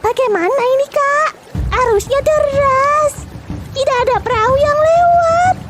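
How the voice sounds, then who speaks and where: A performer voicing a whiny, spiteful younger sister in a children's animated folktale. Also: extremely high pitch, a little slow. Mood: anxious.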